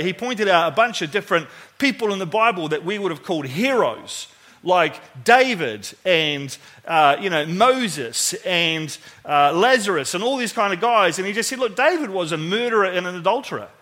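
A man's voice: high voice, lively intonation, normal speed, bright, forceful tone. A man preaching informally to a church congregation in an enthusiastic, joking, conversational way, often putting questions to the audience. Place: speaking live to a gathering at church.

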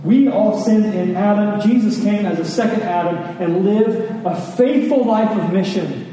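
A man's sermon carries through a very echoey hall, picked up at some distance from the microphone. His voice sits a little high with a soft, mellow tone. He teaches earnestly, leaning on rhetorical questions and repeated phrases for emphasis. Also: normal speed. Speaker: preacher. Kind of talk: sermon, teaching scripture to a congregation. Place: large reverberant room. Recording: echoey hall, distant mic, faint noise.

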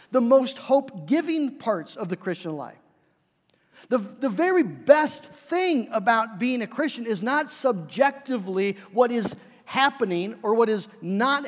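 A man preaching a sermon in a conversational way, with frequent fillers and restarts. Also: very high-pitched. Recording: reverberant hall.

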